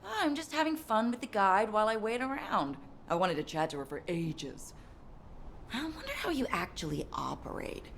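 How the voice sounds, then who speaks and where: An actress playing an excitable, curious, outspoken young woman engineer, chatty and exuberant, in a video game. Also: medium pitch, moderate pace, gravelly, slight background noise. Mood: playful, fascinated. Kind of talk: video game cutscene acting.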